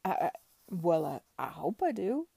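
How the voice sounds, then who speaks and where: Brief: female reader doing a timid young man, stammering, mellow tone. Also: on the low side, sing-song, measured pace, creaky, breathy edge, no room sound, exaggerated voice. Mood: meek. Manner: meek, mechanical, stammering. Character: meek young baronet, a man under his aunt's thumb.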